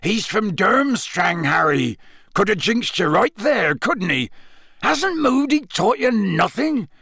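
A man's voice: fairly high pitch, big pitch swings, unhurried, vocal fry, a bit bright, husky, dry recording, studio quality, voice pushed up, heavily acted. A man voicing a huge, hot-tempered, loyal giant of a man, growling in blunt rustic speech for an audiobook. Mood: angry, indignant. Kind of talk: audiobook character acting.

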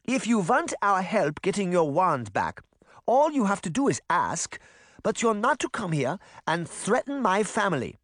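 Man as a high-voiced, firm old grandmother. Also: sing-song, moderate pace, a bit bright, no room sound, clean studio sound, exaggerated voice. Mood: firm, indignant. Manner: insistent, plain-spoken.

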